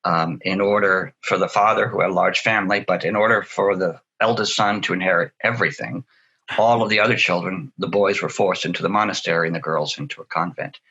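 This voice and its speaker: A man being interviewed as a translator, talking in a relaxed, chatty way, often saying "you know" and laughing easily. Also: low-pitched, fairly quick, slightly rough, lo-fi sound.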